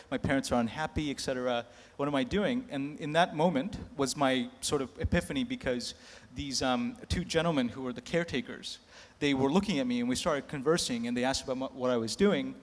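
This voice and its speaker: Candid man in an echoing hall. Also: mid-range voice. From United States. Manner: candid, self-mocking, laughs between lines. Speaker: panelist. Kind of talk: candid anecdotal storytelling. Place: large conference hall. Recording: echoey hall reverb.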